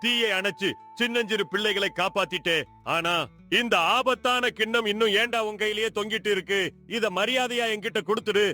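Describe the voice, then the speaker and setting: A man's voice: sits high, fairly even intonation, fairly quick, pushed and bright, soft background music. A man playing a greedy, scheming village moneylender in a dramatized moral story, mocking and grasping in manner. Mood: sly, mocking.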